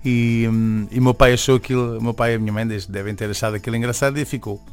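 Brief: young man, Venezuelan-tinged Beira accent, halting guest answers with fillers, faint music under. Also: mid-range voice, steady tone, darkish tone, smooth. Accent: Venezuelan-tinged Beira Portuguese. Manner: hesitant, many restarts and fillers. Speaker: musician and barber, interview guest. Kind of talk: casual interview talk.

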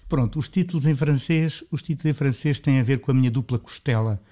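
A middle-aged man from Lisbon with a Lisbon Portuguese accent, talking as a composer in a radio interview. He is thoughtful and often restarts his phrases. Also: fast talker. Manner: thoughtful, restarts phrases, rises in pitch when animated. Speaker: composer guest.

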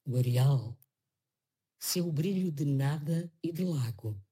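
An actress reading a poem aloud in a grave, measured recitation. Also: female voice, deep voice, expressive, warm tone, breathy edge. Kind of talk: slow poetry recitation.

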